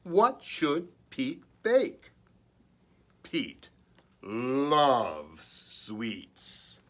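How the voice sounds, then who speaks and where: A man reads a picture book aloud for young children. His pace is extremely slow and deliberate, and his mid-pitched voice moves up and down in an animated way. He is expressive and slips into playful, chatty asides. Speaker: children's librarian reading aloud. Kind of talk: children's story time read-aloud.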